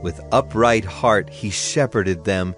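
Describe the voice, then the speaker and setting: A man's voice: on the low side, slow, a bit bright. A man giving a solemn, declamatory dramatized reading of scripture as an audio Bible narrator. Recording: music under the voice. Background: music.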